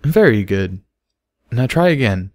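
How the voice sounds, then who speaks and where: A man voicing a patient male unicorn teacher in a storybook, speaking slowly with gentle command. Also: medium pitch, very animated, no room sound. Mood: gently commanding. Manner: slow, gentle, instructive. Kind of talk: storybook character voice.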